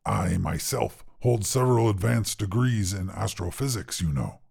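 A very gravelly, husky monster of a dinosaur, huge and carnivorous. It speaks low, with pompous, learned diction and smug self-assurance, as a character in a storybook reading. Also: male voice, lively intonation, normal speed, a little mellow, exaggerated voice. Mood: pompous, skeptical. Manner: pompous, learned, formal phrasing. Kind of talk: character voice in narrated short fiction.

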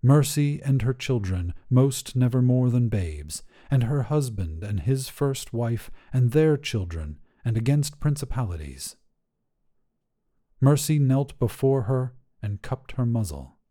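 Slow, mellow male story narrator. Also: mid-range voice, big pitch swings. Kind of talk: scripted literary fiction narration.

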